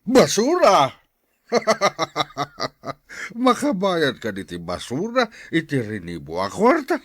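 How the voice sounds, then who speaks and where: A man's voice: on the high side, wildly swooping pitch, slow pace, a bit bright, exaggerated voice. An actor playing a rich, stingy older village man with a mocking, sneering manner in a radio drama. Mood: scornful, incredulous. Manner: scornful, sneering, incredulous.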